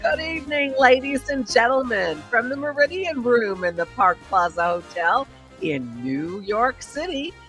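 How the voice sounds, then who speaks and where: A woman's voice: a little high, animated, unhurried, fairly bright tone, rough recording. A woman playing a formal, showy announcer introducing dance music in a 1930s-style radio drama. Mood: gracious, showy. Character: gracious female dance-band radio announcer.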